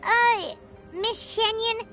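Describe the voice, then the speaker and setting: A woman voicing a tiny, chirpy, childlike fairy companion in a video game, with a squeaky, excitable delivery. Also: drawn-out words, over loud music, exaggerated voice. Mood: excited, curious.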